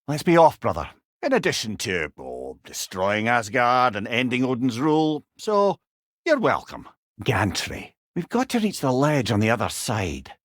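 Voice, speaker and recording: Game role: witty old Scottish advisor, companionable and eloquent with a rueful edge, animated medium-pitched delivery. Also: male voice, unhurried, no echo, clean studio sound, full character voice. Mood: wry. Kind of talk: video game character dialogue.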